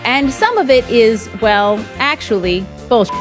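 Woman economist co-host, brisk and wry, high lively voice. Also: over music.

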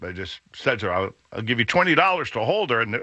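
A middle-aged man hosting a radio show, telling a rambling story in a booming, animated voice that keeps stopping and restarting. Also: quick pace, no echo, highs cut off. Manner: rambling, self-interrupting, laughs at own jokes. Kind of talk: casual storytelling chat.

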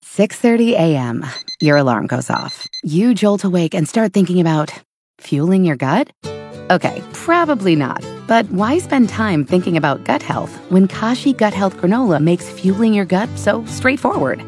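A woman voicing radio commercials in a smooth, friendly read. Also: medium pitch, sing-song, normal speed, a little mellow, soft background music. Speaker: ad announcer.

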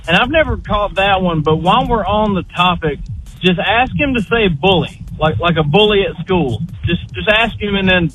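A man with a fairly high voice leaves a voicemail, friendly and a little halting as he repeats himself. A faint hum of traffic sits behind him. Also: fairly bright tone, rough recording. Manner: hesitant, friendly, repeats words. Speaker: voicemail caller.

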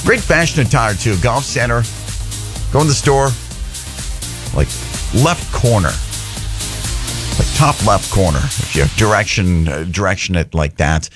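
A man hosting a morning radio show, chatty and upbeat, doing energetic live reads. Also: fairly low pitch, a little slow, pauses often, music in the background. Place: radio studio.

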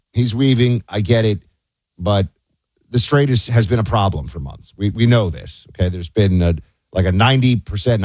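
An American man hosting a talk radio show with off-the-cuff political commentary, slightly husky, conversational and rambling, often interrupting himself. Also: lowish voice, a little mellow, no echo, clean studio sound.

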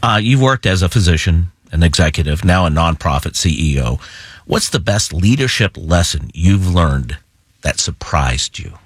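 A man hosting an interview, enthusiastic and quick to praise his guest. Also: low-pitched, animated, husky, no room sound, clean studio sound. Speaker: interview host. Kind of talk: interview conversation.

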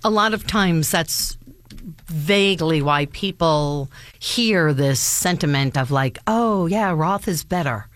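A woman's voice: low-pitched, lively intonation, slow. A young woman hosting a casual chat about finances, conversational and often chiming in with agreement. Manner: conversational, lots of agreeing back-channel. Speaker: host.

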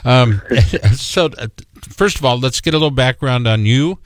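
A man's voice: mid-range voice, lively intonation, unhurried, no echo, studio quality. A man hosting a casual interview show, jokey and loose with fillers. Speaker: podcast host.

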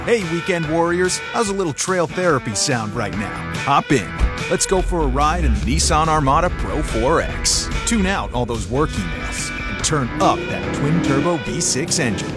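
A man announcer giving an energetic, inviting read of a scripted commercial. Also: on the high side, normal speed. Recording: studio ad with loud music bed. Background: loud guitar music.

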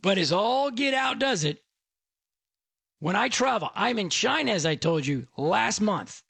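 A man from Texas hosting a tech radio show, talking fast and animated in a casual monologue. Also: high-pitched, vocal fry, breathy edge, no echo.

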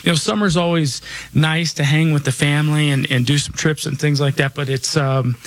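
A man in his forties hosting a radio show, talking casually in a raspy, slightly hoarse voice, rambling with many restarts and fillers. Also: on the high side, fairly even intonation, moderate pace. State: slightly hoarse from chest trouble. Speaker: radio show host and financial advisor.

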